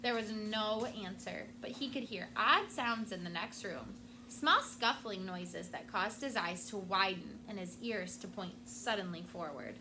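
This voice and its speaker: A woman teacher reading a picture book aloud to her class with expressive storytelling, stopping now and then to explain a word. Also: medium pitch, unhurried, noisy background.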